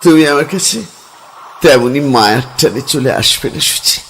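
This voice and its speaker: A man's voice: medium pitch, lively intonation, a little slow, a bit bright, slight background noise. A man playing a tender, stammering young lover. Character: young man reliving past life as lover. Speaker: actor. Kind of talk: Bengali radio drama acting.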